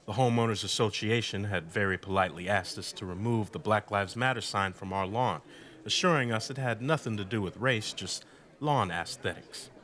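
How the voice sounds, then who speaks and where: A man's voice: fairly low pitch, moderate pace, a bit bright, close to an everyday voice. An actor playing a wry, self-deprecating husband being interviewed in a radio drama, telling his story in a sardonic, conversational way. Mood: dryly understated. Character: wry, indignant husband recounting blackout night, interviewee.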